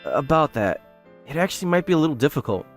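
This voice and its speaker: An earnest, courteous young male hotel owner, acted by a man for an anime-style manga dub. His voice sits a little high and moves animatedly, with music playing underneath. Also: big pitch swings, quick pace. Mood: polite. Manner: polite, earnest. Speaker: narrator and lead character.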